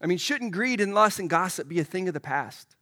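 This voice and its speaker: A man's voice: sits high, expressive, a little fast, bright, forceful tone. A man preaching a sermon as a church pastor, a little froggy from a head cold, talking conversationally and putting questions to the congregation.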